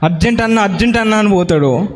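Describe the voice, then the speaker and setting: A woman's voice: mid-range voice, fast talker. A woman hosting a children's Bible school puppet show, playfully talking with puppets and children. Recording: reverberant hall, faint background noise.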